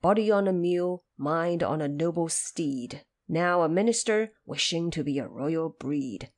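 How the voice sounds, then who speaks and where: Female narrator for an audio drama: fairly low register, very animated, dramatic storybook delivery. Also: a little slow.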